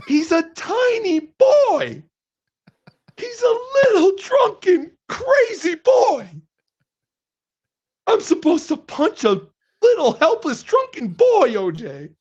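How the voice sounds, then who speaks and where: A man voicing a brash, boastful online troll who calls himself Daddy, hoarse from shouting. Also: extremely high pitch, big pitch swings, exaggerated voice. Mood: taunting. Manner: boastful, taunting, drawn-out delivery. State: hoarse, losing his voice. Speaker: guest host. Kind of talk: live stream chat. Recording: dull lo-fi stream audio.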